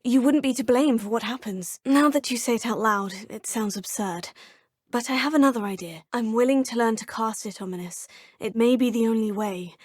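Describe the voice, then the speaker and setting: A young woman voicing a polite, composed female student witch in a video game, speaking in a measured, formal way. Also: a little high, a little mellow, dry recording, studio quality, close to an everyday voice. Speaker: player character.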